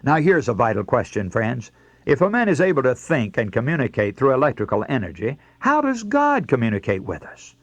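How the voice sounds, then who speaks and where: A man's voice: mid-range voice, huge pitch swings, brisk, no room sound. A man preaching a scripted radio sermon in an earnest, rhetorical way, addressing listeners directly. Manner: earnest, rhetorical, emphatic oratory.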